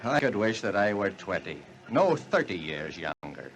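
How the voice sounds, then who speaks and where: A man's voice: deep voice, sing-song, moderate pace. A man playing an elderly, learned professor full of wonder in 1940s radio drama, musing in a theatrical, old-fashioned way. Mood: wistful wonder. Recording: noisy vintage 1940s radio recording.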